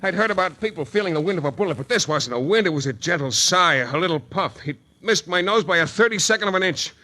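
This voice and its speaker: An actor playing a tough, wry private detective, narrating and talking in clipped, intense 1940s radio style. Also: male voice, sits high, lively intonation, quick pace, bright, forceful tone. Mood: tense, wry. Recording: 1940s radio broadcast recording.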